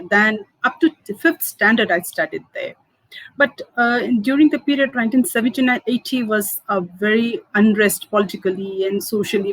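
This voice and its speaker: A Manipuri woman in her fifties tells her life story in English, her third language after Hindi and Manipuri. She talks haltingly, with many fillers and soft laughs, at a middle pitch, and the room sounds dry and close. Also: dry recording, rough recording. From Manipur, India. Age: 50s. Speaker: food entrepreneur. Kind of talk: interview answers, personal story.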